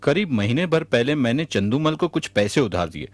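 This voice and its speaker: A man's voice: medium pitch, very animated, moderate pace, dry recording, close to an everyday voice. A man voicing an honest, humble cartoon merchant, earnest and respectful. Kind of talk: Hindi cartoon voice acting. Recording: music behind the voice.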